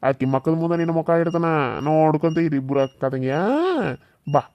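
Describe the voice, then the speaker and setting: Mocking, menacing rural husband, a touch high-pitched , played by a man. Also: fairly even intonation, fairly quick, clear, resonant tone, dull audio, lightly acted. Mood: gloating. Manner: mocking, threatening, scornful laughter. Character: cruel, scheming village husband.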